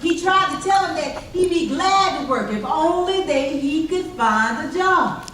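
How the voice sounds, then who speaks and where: A woman with a high voice tells a folk tale dramatically, leaning on measured pauses. She sounds distant in a very echoey hall with some noise. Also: expressive, fairly bright tone. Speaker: storyteller in readers theater. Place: large echoey chapel during a live performance.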